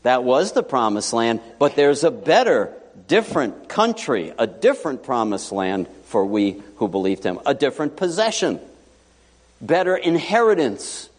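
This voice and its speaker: A man preaching a sermon to his congregation, lively and joking as he teaches. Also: medium pitch, normal speed. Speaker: pastor. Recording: long hall reverb.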